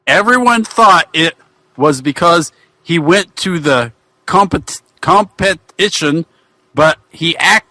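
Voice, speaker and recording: A man acts out a dim-witted ghost of an old wrestler in a comic fan-fiction reading. He rambles in a bright, fairly high voice with big swings of pitch, folksy and bumbling. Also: no room sound, exaggerated voice. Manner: rambling, bumbling, colloquial delivery.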